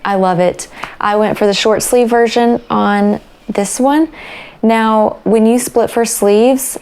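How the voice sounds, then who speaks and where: A young woman hosting a knitting podcast, chatting casually and relaxed, her voice raspy after a night of coughing. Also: on the high side, takes it slowly. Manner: chatty and relaxed, with fillers and small laughs. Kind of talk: casual chat about crafts.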